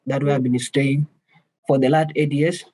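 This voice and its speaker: A man's voice: medium pitch, level tone, moderate pace, dark tone. A man from South Sudan asking a question on a webinar, earnest and hesitant, over a video call.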